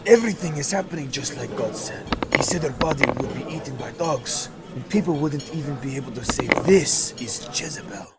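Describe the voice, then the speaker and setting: A man's voice: medium pitch, expressive, brisk, hardly any pauses, over loud music, close to an everyday voice. A man playing a ruthless, commanding military captain who seizes the throne, speaking bluntly. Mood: grim. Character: ruthless commanding male captain turned king. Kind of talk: audio drama acting.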